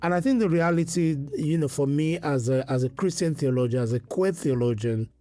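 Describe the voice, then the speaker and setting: A man's voice: on the high side, fairly quick, dark tone, no room sound. A middle-aged man from Nigeria, a priest answering interview questions earnestly and quoting scripture. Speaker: priest and guest.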